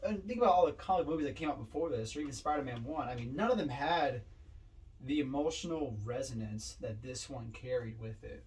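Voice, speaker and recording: Male podcast co-host with a medium-pitched voice, casual film talk, sitting back from the mic. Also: a little fast, darkish tone, slight background noise. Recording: distant mic.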